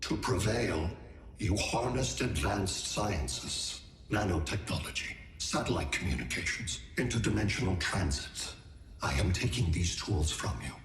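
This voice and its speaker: A cold alien world-collector, slow and measured, low voice, echoey mix, loud music. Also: male voice. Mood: menacing. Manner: calm, measured, menacing and clinical. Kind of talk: video game cutscene acting.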